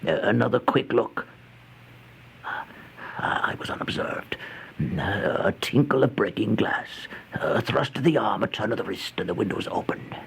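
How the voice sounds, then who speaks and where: An actor playing an exhausted, starving homeless man who recounts a horror tale in a halting, breathy, theatrical old-time radio style, often dropping toward a whisper. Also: lowish voice, expressive. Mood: furtive, tense. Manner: halting, slow, theatrical, anguished. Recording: noisy old radio recording.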